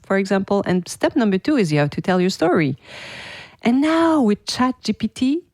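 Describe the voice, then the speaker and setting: A woman's voice: on the low side, a little mellow, no echo, studio quality. A woman with a French accent hosting her own podcast, talking to listeners in an enthusiastic, chatty way. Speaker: podcast host and PR teacher. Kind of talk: casual solo podcast talk.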